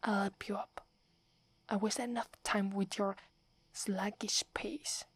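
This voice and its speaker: A woman with an Italian accent voicing a proud young werewolf woman, whispering softly close to the mic and stammering when flustered. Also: mid-range voice, steady tone, takes it slowly, husky. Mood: impatient. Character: proud young werewolf woman, gruff but secretly fond. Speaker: ASMR roleplay performer. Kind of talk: whispered ASMR roleplay.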